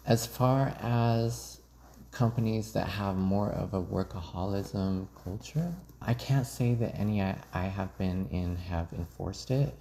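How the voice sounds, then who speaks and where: A man answering questions in a casual interview, explaining things in a measured way. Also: deep voice, dark tone, slight background noise. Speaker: interview guest working in finance IT.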